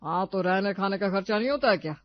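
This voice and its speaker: A woman's voice: medium pitch, fast, dry recording, dull audio, lightly acted. A woman voicing a grudging, calculating married brother-in-law in a cartoon story, talking hesitantly.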